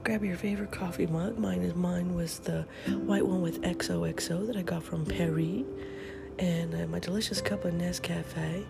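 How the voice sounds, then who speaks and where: A woman's voice: on the low side, steady tone, moderate pace, vocal fry, loud music behind the voice. A middle-aged woman hosting a podcast in a casual, upbeat morning chat, often half-whispering, with a stuffy nose from a head cold. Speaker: podcast host.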